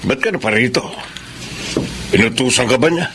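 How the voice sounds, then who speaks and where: A man's voice: mid-range voice, moderate pace, lightly acted. Actor as cold Filipino father, noisy recording. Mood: cold, dismissive. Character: cold, dismissive estranged father with another family. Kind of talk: Filipino radio drama acting.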